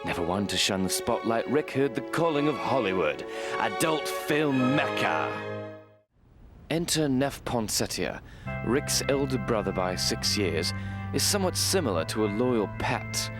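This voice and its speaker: Male narrator, medium pitch, solemn tongue-in-cheek documentary read with a prominent music bed. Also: big pitch swings. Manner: mock-serious, deliberate. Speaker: documentary narrator, voice-over artist. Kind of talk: scripted mock-documentary narration.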